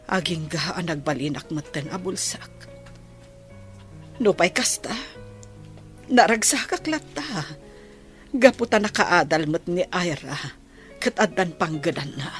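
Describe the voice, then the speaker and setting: A woman playing a slow, resigned blind mother. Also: on the low side. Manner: soft, resigned, apologetic. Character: poor, blind, long-suffering mother. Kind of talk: radio drama acting.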